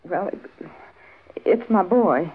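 A woman's voice: fairly low pitch, lively intonation, slightly rough, exaggerated voice. An actress playing a frightened, hesitant frontier mother with a rural American accent in a 1950s radio drama. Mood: fearful. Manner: halting, anxious. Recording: tinny, phone-like vintage radio recording.

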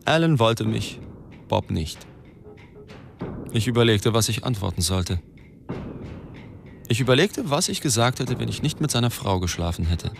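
A man's voice: fairly low pitch, sing-song, moderate pace, creaky, pauses often. An actor playing a self-loathing, cynical reporter who narrates his own story in a brooding, confessional way. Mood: bitter. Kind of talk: first-person radio play narration. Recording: music behind the narration.

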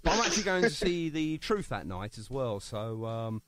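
A man's voice: mid-range voice, very animated, normal speed, a bit bright, dry recording. A man hosting a radio show with a British accent, chatting casually and jokily with a guest, rambling with lots of fillers. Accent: British English. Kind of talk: casual radio interview chat.

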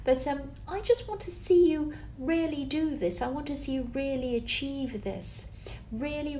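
A woman with a slightly higher voice that sounds mellow and a little croaky from tiredness. She gives a casual tarot reading to camera, rambling and encouraging, with plenty of ums. A faint rumble sits under the recording. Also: low-quality recording. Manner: rambling, filler-heavy, encouraging. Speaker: tarot reader. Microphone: camera with external microphone.

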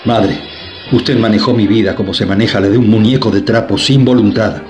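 A man's voice: lowish voice, steady tone, a little fast, a little mellow, reverberant, natural voice. A man voicing a resolute middle-aged son who confronts his mother in a radio drama. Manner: firm, earnest, impassioned.

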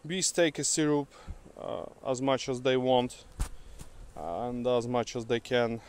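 A non-native English-speaking man, a beekeeper, demonstrating outdoors in a grassy field and talking haltingly with many fillers. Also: mid-range voice, steady tone, a little mellow, clean tone. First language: not English. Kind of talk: hands-on demonstration. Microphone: handheld camera mic. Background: bees buzzing.

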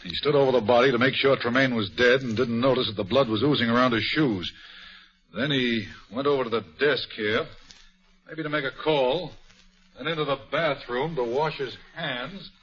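A man's voice: medium pitch, moderate pace, fairly bright tone, lightly acted. A blunt, practical police inspector, played by a man, matter-of-fact and plain in speech. It comes through the dull, muffled sound of an old radio broadcast. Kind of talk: 1940s radio acting.

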